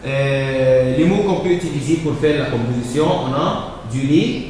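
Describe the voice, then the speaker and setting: A man teaching a French lesson, explaining exercises slowly and hesitating with repeated words and fillers. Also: mid-range voice, darkish tone, a little hiss or hum. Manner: hesitant, repeats words and fills pauses with euh. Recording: echoey room, voice from far off.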